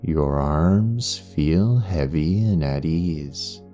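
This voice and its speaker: A man guiding a meditation in a soothing, unhurried way, leaving long pauses between phrases. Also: very deep voice, lively intonation, mellow. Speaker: meditation guide and hypnotherapist. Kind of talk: guided meditation narration. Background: background music.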